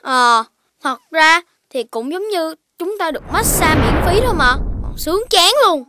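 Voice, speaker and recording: A woman's voice: extremely high pitch, lively intonation, measured pace, noisy background. A woman voicing a playful, lazy, whiny little child in a children's cartoon, with exclamatory delivery. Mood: pleased. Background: children's music.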